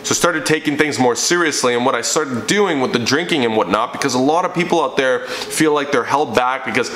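A man's voice: medium pitch, brisk, a bit bright, slight background noise. Emphatic young man in echoey room. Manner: emphatic, preachy, talks with his hands. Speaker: fitness coach. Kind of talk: personal story to camera. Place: living room indoors. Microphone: camera in front, seated framing. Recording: echoey room with faint ambience.

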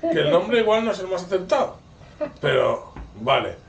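A man's voice: high-pitched, big pitch swings, moderate pace, creaky, echoey room, a little hiss or hum. A man with an accent from Spain hosting a casual cooking video in a home kitchen, chatty and curious, asking eager questions while recorded on a 360 camera.

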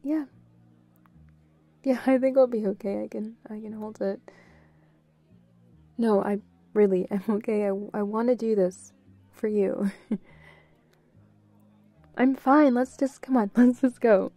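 A woman playing a bubbly, playful, affectionate young girlfriend in an intimate roleplay audio, giggly and given to quick repeated phrases. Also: on the high side, no echo. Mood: nervous, reassuring. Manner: giggly, breaks into short excited repeats.

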